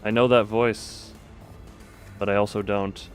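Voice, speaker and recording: A man's voice: lowish voice, little pitch movement, a little slow, soft background music. A man streaming a game, chatting casually and reading the story text aloud with dramatic delivery.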